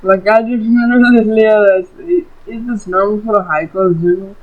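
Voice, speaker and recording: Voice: medium pitch, fairly even intonation, moderate pace. A gamer giving casual, impatient let's play commentary, padded with repeated filler words. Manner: repetitive filler "like, man", impatient. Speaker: gaming YouTuber. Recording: dull lo-fi mic over game music. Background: faint game music.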